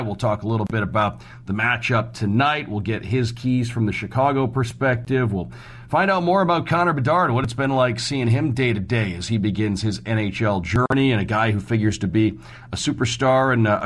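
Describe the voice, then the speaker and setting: A man hosting a sports radio show, running through hockey details in a conversational rundown and recapping key points. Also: mid-range voice.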